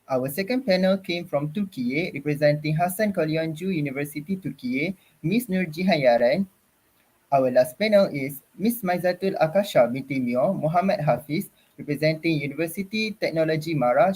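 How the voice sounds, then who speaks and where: A young man from Malaysia hosts an online student forum in a formal, ceremonious manner. His voice is husky and sits a little high. He speaks over a video call. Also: a little mellow, low-quality recording. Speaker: forum moderator. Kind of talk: formal scripted moderating.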